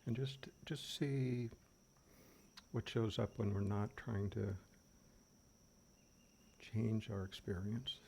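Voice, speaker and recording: A man leading an unscripted guided meditation as a teacher, speaking gently and unhurriedly with long pauses. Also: lowish voice, creaky. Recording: steady background noise of fans and birds. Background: fans, birdsong and insects.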